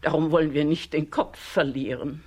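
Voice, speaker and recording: A woman's voice: sits low, steady tone, moderate pace, a little mellow, breathy edge. An actress playing a worried, scolding motherly aunt in a German children's radio drama, fussy, emphatic and coaxing. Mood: anxious, consoling.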